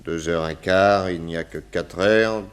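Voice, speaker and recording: An actor playing a confident, amused private detective in a 1960s French radio drama, questioning without hurry. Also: male voice, deep voice, a little slow, smooth. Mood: courteous, measured.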